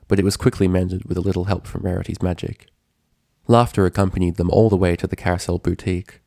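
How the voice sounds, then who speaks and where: Low, gravelly man narrating a story. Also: dark tone, dry recording, studio quality. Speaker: fan fiction reader.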